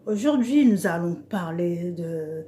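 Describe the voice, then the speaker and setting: A woman's voice: fairly low pitch, animated, warm tone, a little hiss or hum. A woman preacher giving an informal devotional talk on Bible verses, speaking hesitantly with many fillers. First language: an African language she names as her mother tongue. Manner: slow, hesitant speech with frequent "euh" fillers.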